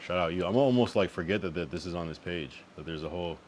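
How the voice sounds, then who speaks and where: A man's voice: deep voice, fast talker, darkish tone, a little hiss or hum. A young man from the US East Coast chatting loosely on a late-night live stream, laid-back and profane, often repeating his phrases. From Connecticut and Massachusetts, US East Coast.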